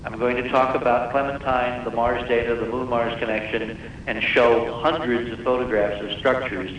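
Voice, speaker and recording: A man's voice: mid-range voice, flat delivery, normal speed, fairly bright tone. A man speaking as a guest researcher on a live radio interview, explaining technical detail emphatically and repeating words for weight. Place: live radio call-in show. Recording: distant, very echoey, muffled noisy radio broadcast.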